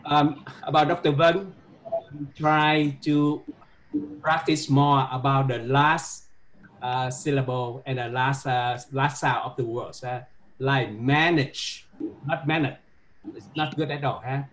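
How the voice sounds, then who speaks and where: A man with a Vietnamese accent giving halting, repetitive classroom feedback on pronunciation, often tagging phrases with a questioning "huh?". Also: medium pitch, fairly even intonation, fairly bright tone, poor audio. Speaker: medical English teacher.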